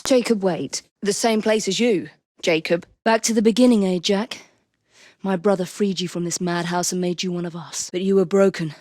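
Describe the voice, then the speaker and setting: An actress playing a poised, determined young assassin in a Victorian London video game, with an English accent and a crisp, politely commanding delivery. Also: female voice, on the low side, darkish tone, no room sound, studio quality, lightly acted. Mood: grave, resolute. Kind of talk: scripted game voice acting.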